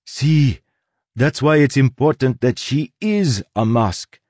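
A man's voice: mid-range voice, lively intonation, takes it slowly, a little mellow, no room sound, pitched above the performer's own voice. A man voicing a stern, straightforward sailor woman in an audiobook, with urgent, persuasive delivery. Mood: pleading.

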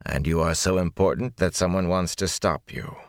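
A man voicing a stern male lord who speaks slowly and dryly. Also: sits low, no echo. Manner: slow, dry and measured. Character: stern, dry-spoken male border lord.

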